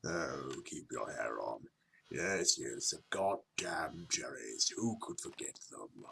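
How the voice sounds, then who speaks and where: Man as a grumpy old islander, low pitch, gruff storytelling, audiobook drama, faintly noisy recording with clicks. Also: very animated, takes it slowly, sharp tone, exaggerated voice. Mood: irritable. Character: grumpy old island uncle recounting wartime bombing. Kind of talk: audiobook character acting.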